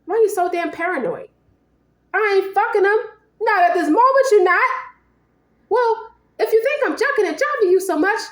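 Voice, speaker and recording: A woman's voice: medium pitch, sing-song, fast talker, hardly any pauses, darkish tone, lightly acted. A woman acting out a defiant, fed-up American woman who taunts and curses at her partner. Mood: indignant. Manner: confrontational, taunting, profane. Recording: echoey room.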